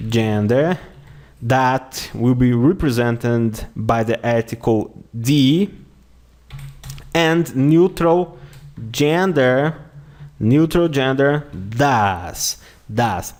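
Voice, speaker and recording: A man with a Brazilian accent and a mid-pitched voice teaches an online lesson at an unhurried, deliberate pace. He repeats each word and phrase and keeps checking in with "okay?". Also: animated, a little mellow. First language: Portuguese. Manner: slow, repeats words and phrases often, says "okay?" a lot. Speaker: language teacher.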